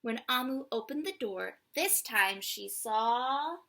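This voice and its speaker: Bright, unhurried woman reading a picture book. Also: on the high side, expressive, vocal fry. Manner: draws out words, whispered asides to the listener. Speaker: children's storyteller. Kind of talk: expressive picture-book read-aloud.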